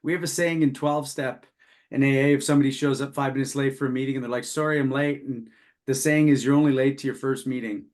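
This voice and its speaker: A man's voice: mid-range voice, fairly even intonation, quick pace, a little mellow. A man hosting a podcast, asking his guests questions in casual conversation. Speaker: podcast host. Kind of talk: casual interviewing.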